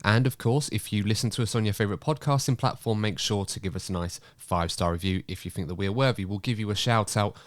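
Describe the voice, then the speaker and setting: Male podcast host, slightly croaky with a cold, low voice, dry close sound, upbeat and chatty. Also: fast, hardly any pauses, no echo. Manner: chatty, upbeat, running the show. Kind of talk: casual podcast chat.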